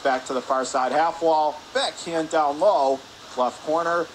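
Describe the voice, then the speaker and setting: A man's voice: a little high, a little slow, low-quality recording. A man doing live radio play-by-play of ice hockey, in clipped running phrases that rise with the action. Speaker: play-by-play announcer. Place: indoor ice rink stands. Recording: clear rink noise behind.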